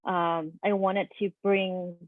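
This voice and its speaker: Woman chef on a panel, earnest and pausing, lowish voice, thin phone-like audio. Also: flat delivery, dry recording. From United States. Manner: reflective, earnest, frequent ums. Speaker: restaurant owner and chef. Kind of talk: panel discussion storytelling. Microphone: video call. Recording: dry, phone-like video call audio.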